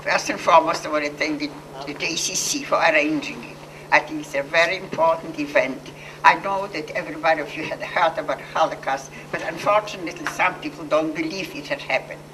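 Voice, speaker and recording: A woman's voice: deep voice, fairly bright tone, recorded from a distance, a little hiss or hum, poor audio. Older Polish-accented woman, earnest. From Poland. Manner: earnest, halting, with restarts and self-corrections. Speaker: Holocaust survivor speaker. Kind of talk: personal testimony to an audience.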